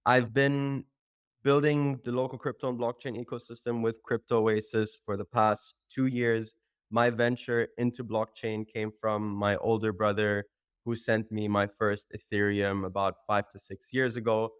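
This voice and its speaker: A man speaks in a smooth, resonant low voice with very little rise and fall. He sounds calm and steady. The recording is close and dry. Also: moderate pace, dry recording. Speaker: podcast guest. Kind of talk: guest self-introduction.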